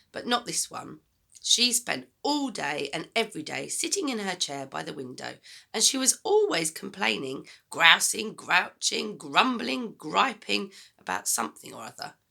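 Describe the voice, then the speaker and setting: A woman reading a children's story aloud as a teacher, in expressive narration. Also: medium pitch, measured pace, gravelly.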